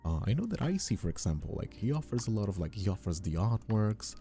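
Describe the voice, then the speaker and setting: Male streamer, mellow and very low-voiced, for loose, earnest, off-the-cuff chat with lively inflection. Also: fast talker. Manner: hesitant, repetitive, informal, earnest. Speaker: ASMR creator and streamer hosting a chat. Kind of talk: casual rambling livestream chat. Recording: faint music behind the voice. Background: faint music.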